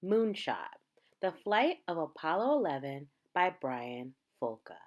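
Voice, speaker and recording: A woman reading a picture book aloud like a teacher, in measured, dramatic phrases with emphasized words. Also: fairly low pitch, sing-song. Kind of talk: read-aloud storytelling.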